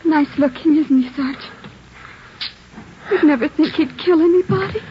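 An actress playing the tired, plaintive young wife of a fugitive in an old radio drama. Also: female voice, high-pitched, normal speed, a little hiss or hum, natural voice. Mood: wistful. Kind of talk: 1940s radio acting. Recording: dull vintage radio broadcast.

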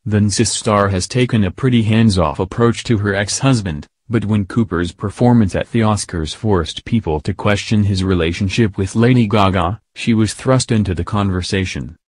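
Low-voiced man reading a gossip script. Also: normal speed, hardly any pauses, darkish tone, breathy edge, dry recording. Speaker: narrator. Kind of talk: scripted celebrity gossip narration.

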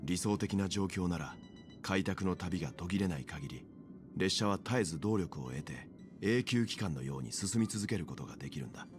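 Wise grown-up anime game mentor, deep voice, calm measured explaining, music behind. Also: male voice. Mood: serious, explaining. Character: mature, composed male mentor, thoughtful and explanatory.

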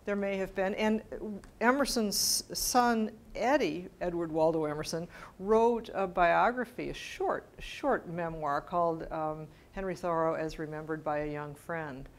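A woman's voice: on the low side, a little slow, hardly any pauses. A middle-aged woman answering questions after a scholarly talk, informal and hesitant, often correcting herself as she thinks aloud. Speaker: lecturer answering audience questions. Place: bright mid-sized library meeting room with audience.

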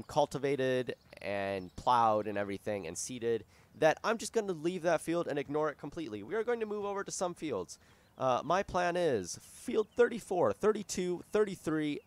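A hoarse young man who is losing his voice, giving rambling, casual commentary over a farming game at his desk microphone, with lots of fillers and restarts. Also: medium pitch. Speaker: gaming YouTuber. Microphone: desktop microphone.

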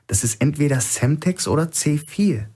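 A man's voice: on the high side, lively intonation, moderate pace, dark tone, breathy edge, voice pushed up. A man voicing a shrewd, defiant male hostage in a thriller audiobook, insistently reasoning to provoke his captor. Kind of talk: audiobook character acting.

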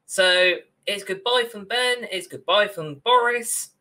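Young guy chatting on a podcast call, bright clear-cut voice, casual. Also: high-pitched, slow pace. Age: young adult. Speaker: podcast co-host. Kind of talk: casual discussion. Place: indoor room on a video call.

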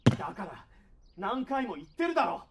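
A man playing a gruff, blunt, self-critical young male idol in an anime-style voice drama, curt and a little awkward. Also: high voice, very animated, moderate pace. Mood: firm.

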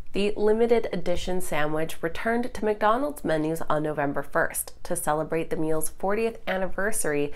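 Woman in her 30s, market news host, a little congested with a cold, lowish voice, brisk upbeat read. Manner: brisk, upbeat presenter delivery. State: stuffed up from a cold. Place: studio, green screen backdrop.

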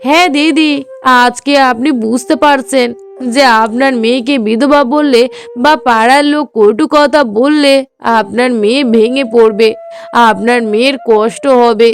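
A high-voiced neighbour woman acted by a woman speaks in a cartoon melodrama. She is righteous and reproachful, scolding through pointed questions. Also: moderate pace, lightly acted.

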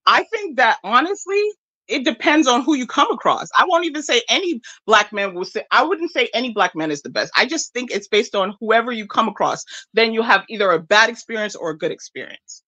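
A woman with an American accent, from Nigeria, calls in and argues her case with insistence. Her pitch rises and falls a great deal, and her voice has a bright, forceful edge. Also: animated, a little fast. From Nigeria, raised in the United States. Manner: insistent, repeats her point. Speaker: caller. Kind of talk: phone-in debate.

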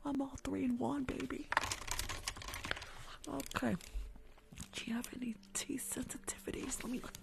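A young woman performs ASMR roleplay very close to the mic, mostly in whispers with a heavy creak. She plays a rude, careless dental worker and is sassy and chiding, repeating short phrases as she goes. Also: high voice. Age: young adult. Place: small room at home, indoors. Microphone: large tabletop microphone, very close.

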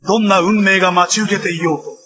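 Man as high-voiced solemn narrator, noisy soundtrack. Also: fairly even intonation, natural voice. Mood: solemn, resolute. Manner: slow, solemn, deliberate phrasing. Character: solemn male narrator of a heroic TV saga. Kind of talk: dramatic scripted narration.

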